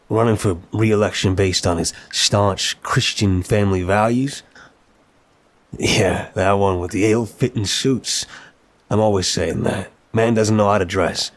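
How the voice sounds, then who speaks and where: A man performs a mafia boss with a low, deep voice for an ASMR-style audio drama. He is wry and apologetic, a charming criminal who chats in casual mobster slang. The delivery is scripted yet conversational. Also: no echo, natural voice. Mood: wry, explaining. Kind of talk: scripted ASMR roleplay acting.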